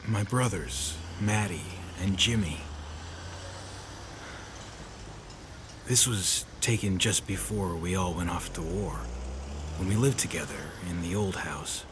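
An actor playing a tough, weary but kind 1930s private detective in a noir audio drama, speaking dryly and deliberately. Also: male voice, sits low, background noise. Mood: tender, subdued.

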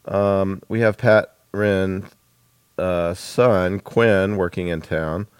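Deep-voiced man, dry close sound. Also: steady tone, drawn-out words, smooth, no echo. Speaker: interview host. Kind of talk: casual author interview.

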